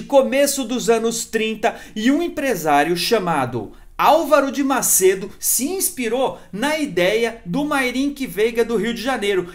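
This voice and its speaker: A presenter narrating history informally, with chatty, emphatic asides to the viewer. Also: mid-range voice. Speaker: video presenter.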